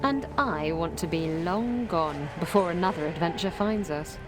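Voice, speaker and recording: A woman's voice: on the low side, normal speed, over music, lightly acted. An actress playing a cool, wry, matter-of-fact female thief in a fantasy video game. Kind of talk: video game character acting.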